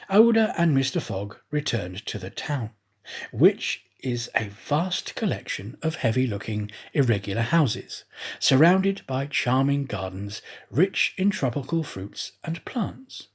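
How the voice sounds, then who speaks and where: A man reading a classic novel aloud as an audiobook narrator, with theatrical, measured phrasing. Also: medium pitch, husky.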